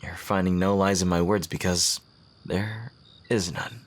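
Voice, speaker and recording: Guy acting as courtly romantic rival, deep husky voice, earnest and tender, asmr roleplay style w soft pauses. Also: steady tone. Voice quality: husky, breathy. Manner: earnest, formal, poetic phrasing with soft hesitant pauses. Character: devoted male fantasy rival confessing love, tender and courtly.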